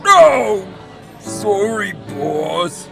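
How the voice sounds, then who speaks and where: A man's voice: sits high, big pitch swings, drawn-out words, creaky, music in the background, full character voice. Goofy, swooping albatross henchman. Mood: apologetic. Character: big, dim, eager male albatross henchman. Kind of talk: amateur audio drama acting.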